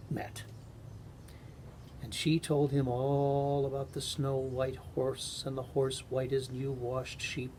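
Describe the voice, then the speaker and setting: A man reading aloud as a storyteller, with measured, gentle phrasing and pauses. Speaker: actor reading a bedtime story. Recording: home recording with noticeable rumbling noise.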